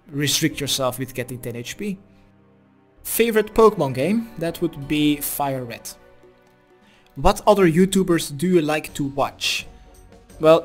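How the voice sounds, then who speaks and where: A man in his twenties from Belgium, a Dutch speaker, doing a casual question-and-answer voice-over. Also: a little high. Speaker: gaming video creator. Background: music.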